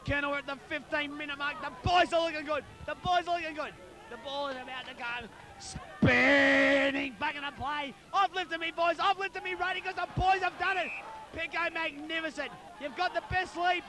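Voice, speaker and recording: An Australian man co-commentating live on amateur football, excitedly urging the players on in colloquial talk while losing his voice. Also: very high voice, normal speed. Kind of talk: partisan live sports commentary.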